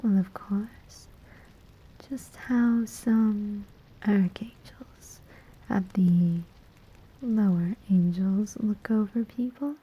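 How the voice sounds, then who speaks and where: A woman's voice: medium pitch, highs cut off, exaggerated voice. A woman playing a sly, amused demon collector of souls in a whispered, teasing ASMR roleplay. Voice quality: breathy, whispering. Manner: slow, teasing, deliberate pauses.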